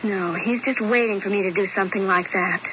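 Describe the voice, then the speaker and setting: A woman's voice: mid-range voice, brisk, natural voice. An actress playing an anxious, dramatic young woman who pleads melodramatically, in a 1970s radio drama. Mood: pleading. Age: young adult. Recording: dull old radio broadcast with hiss.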